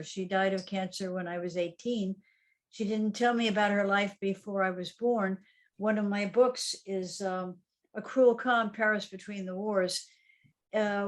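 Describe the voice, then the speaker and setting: An older woman, a guest author, recalling her life in a reflective way, with frequent ums and soft laughs. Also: medium pitch. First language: English. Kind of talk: conversational interview storytelling.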